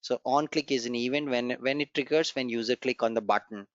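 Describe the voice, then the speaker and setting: A man's voice: mid-range voice, fairly quick, no echo. A man whose first language is Gujarati teaches a live online coding class, encouraging his students and repeating the key points as he walks through the code step by step. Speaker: programming instructor. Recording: dry, dull lo-fi online class recording.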